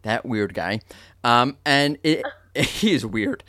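A man's voice: mid-range voice, lively intonation, slow, bright, forceful tone, dry recording. Chatty joking man, dry close sound. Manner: chatty, joking, explains with many fillers and restarts. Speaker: podcast host. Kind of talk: casual podcast chat.

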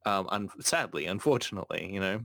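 A man's voice: low-pitched, expressive, fast talker, gravelly, a bit bright, no room sound. A man hosting a casual podcast, talking earnestly with frequent self-corrections. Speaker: podcast host.

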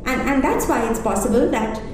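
A woman from India, speaking English as a second language, giving an animated interview with emphatic restarts. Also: a little high, a little fast, very echoey, background noise. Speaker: interview guest, arts and culture figure. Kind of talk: conversational interview.

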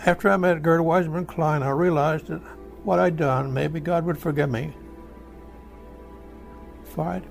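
Actor as a very gravelly old American war veteran. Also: male voice, a little high, fairly even intonation. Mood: reflective, earnest. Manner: halting, plainspoken, folksy reminiscing. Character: old American WW2 veteran, remorseful, haunted by killing. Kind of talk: radio drama monologue, recounting war memories. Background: music behind the voice.

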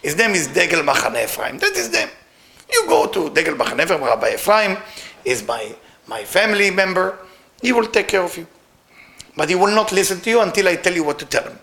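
A man with non-native English voicing a calm, protective master rabbi's soul in heaven, reassuring and instructing. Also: high voice, a little fast, creaky, heavily acted. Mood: reassuring, instructive. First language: not English.

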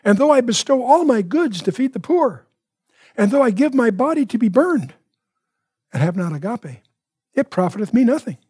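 A man teaching from the Bible in an expository style, with emphatic, deliberate phrasing and off-the-cuff asides. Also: extremely high pitch, big pitch swings, a little mellow, husky, no echo.

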